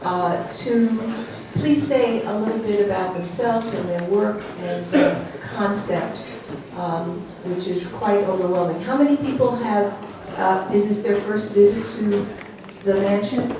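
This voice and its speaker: A woman curator giving halting opening remarks at a gallery exhibition, filling pauses with ums and uhs. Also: medium pitch. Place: gallery room with an audience. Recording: echoey room from far off, noisy.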